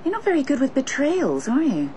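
An actress playing a worldly, challenging mistress in a radio drama, with a teasing, probing manner. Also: female voice, sits high, wildly swooping pitch, brisk, dark tone, a little hiss or hum.

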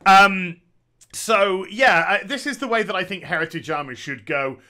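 Male commentator in his thirties, sarcastic and opinionated, bright and slightly high-pitched voice, speaking on a headset at home. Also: moderate pace. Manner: sarcastic, opinionated, jokey asides. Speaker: gaming commentator. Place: small room at home.

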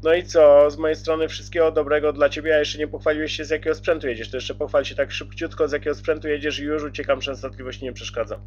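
A man's voice: on the high side, little pitch movement, fast, few pauses, a little mellow. A man chatting courteously and casually as a radio operator, using radio jargon. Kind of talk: casual radio contact chat. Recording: dull lo-fi CB radio audio.

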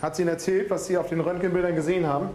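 A man's voice: high voice, fairly even intonation, very fast, dark tone, slight background noise. A male nurse with a Berlin accent, chatting and joking with patients at the bedside. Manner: chatty, joking, tags phrases with "ne?". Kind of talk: friendly bedside chat with patients. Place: hospital emergency department.

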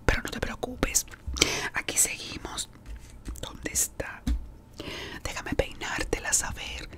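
A woman's voice: mid-range voice, pushed and bright. A woman whispering in an ASMR roleplay as a beautician, gossiping while she treats a client. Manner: gossipy whispering, talking to an unseen caller and a client.

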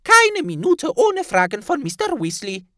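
Very high, squeaky little house-elf. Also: male voice, huge pitch swings, moderate pace, a bit bright, dry recording, studio quality, exaggerated voice. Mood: exasperated. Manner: scolding, servile third-person address. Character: tiny squeaky fussy male house-elf servant. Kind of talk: audiobook character voice.

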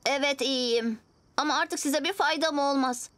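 A woman voicing an excitable young boy cat superhero in a dubbed cartoon, eager and lively. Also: high-pitched, measured pace. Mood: earnest. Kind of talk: cartoon dubbing.

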